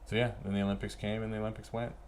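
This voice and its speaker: A man's voice: on the low side, little pitch movement, racing, clean tone. A middle-aged man chatting casually as a podcast guest, laid-back, slangy and freely swearing as he reminisces. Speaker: podcast guest, veteran pro snowboarder. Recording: noticeable background room noise.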